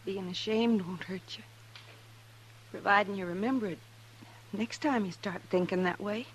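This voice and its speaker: An actress playing a resolute, long-suffering frontier wife in a 1950s radio western, earnest and pointed. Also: female voice, mid-range voice, normal speed, close to an everyday voice. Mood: resolute, reproachful. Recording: noisy, humming old radio recording.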